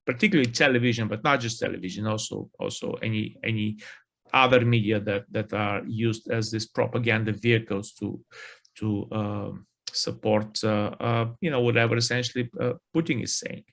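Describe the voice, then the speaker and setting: A man in his forties, a media lecturer, asking a long, halting question from the floor with many fillers. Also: lowish voice, steady tone, gravelly, a bit bright. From Bulgaria. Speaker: audience member, media lecturer.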